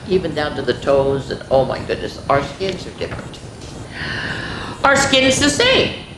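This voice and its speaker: A woman with a low voice reads to children in an echoey room with a little background noise. She tells stories dramatically and breaks off for exclamatory, chatty asides to her young listeners. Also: sing-song, normal speed. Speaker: children's story time host. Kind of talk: animated read-aloud storytelling with chatty asides to children. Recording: roomy echo, faint background noise.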